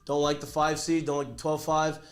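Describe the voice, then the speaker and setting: A man's voice: fairly high pitch, completely flat delivery, a little fast, echoey room. A man hosting a casual sports talk show, slightly nasal from a cold, rambling and joking as he corrects himself. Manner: rambling, self-correcting, jokey. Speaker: podcast host.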